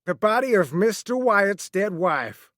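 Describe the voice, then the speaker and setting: A man reading aloud plays a stern sea captain who barks orders in a raised, high-pitched voice. His delivery swings widely in pitch, and he sounds like he is calling out across a deck. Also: big pitch swings, unhurried, dry recording, studio quality, exaggerated voice. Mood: grave recounting. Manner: shouting orders over a storm.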